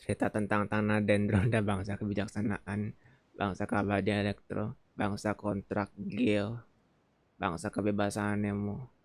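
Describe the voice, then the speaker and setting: Deep-voiced Indonesian man playing a game. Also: monotone, moderate pace, dry recording. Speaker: gameplay video creator. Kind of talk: casual game playthrough, reading options aloud.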